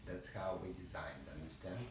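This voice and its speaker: A man's voice: sits low, moderate pace. A middle-aged man with a Russian accent giving a patient, coaxing violin lesson in slightly broken English. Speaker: violin teacher. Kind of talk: private music lesson. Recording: echoey, distant and noisy room recording.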